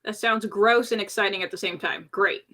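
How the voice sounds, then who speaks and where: A woman's voice: medium pitch, fairly even intonation, normal speed, bright, forceful tone. A woman chatting through a tabletop role-playing game as a player, casual, slangy and teasing as she improvises.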